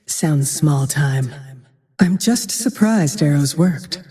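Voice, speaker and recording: A cold, haunted huntress from a fantasy video game, speaks in a dark, mellow, breathy tone. Her delivery is slow and deliberate, deadpan with quiet menace. A long reverb trails her vengeful lines. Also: deep voice. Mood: cold, dismissive.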